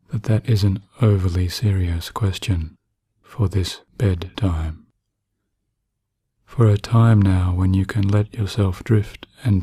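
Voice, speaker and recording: Soft husky guy doing sleep hypnosis, real slow w long pauses, soothing. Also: low-pitched, no echo. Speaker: hypnotherapist narrator. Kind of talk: guided sleep hypnosis narration.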